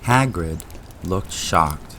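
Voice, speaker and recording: A man's voice: low voice, animated, extremely slow, pushed and bright, slight background noise. A man giving dramatic scripted narration for an audiobook-style audio drama.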